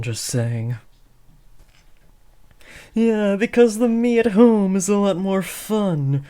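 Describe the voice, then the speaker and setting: A man's voice: on the high side, darkish tone, smooth, natural voice. A young man playing an awkward, lovestruck teenage would-be supervillain in a comedic audio roleplay, switching between theatrical menace and hesitant rambling. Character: awkward lovestruck teenage boy, aspiring supervillain, eager, insecure. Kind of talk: comedic roleplay audio drama addressed to the listener.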